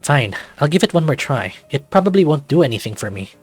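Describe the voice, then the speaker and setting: A man's voice: on the high side, very animated, fast, slightly rough, faint music behind. A man playing a troubled, guarded, sardonic man in a visual novel, with dry, brooding delivery. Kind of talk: visual novel character acting.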